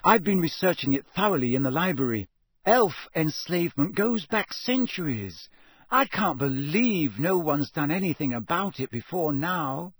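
An earnest, bossy teenage girl from a storybook, voiced by a man. The pitch is lifted and swings widely as she insists briskly and impatiently, in a close, dry sound. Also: lively intonation, husky, no room sound. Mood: indignant.

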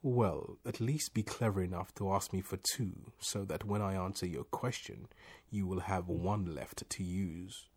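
A calm, teasing voice without a body, acted out by a man reading a story. It speaks slowly and a little low, with a husky edge and a mock-polite, instructive manner. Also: exaggerated voice. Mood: patient, instructing. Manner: measured, mock-polite, unhelpfully stern. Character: calm, wry, teasing disembodied voice.

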